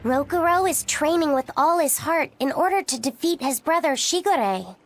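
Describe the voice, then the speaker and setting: A woman playing a gentle, earnest young boy in a video game, speaking sincerely and a little hesitantly. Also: sits high, expressive, moderate pace, soft background music. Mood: thoughtful, admiring. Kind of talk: English video game voice acting.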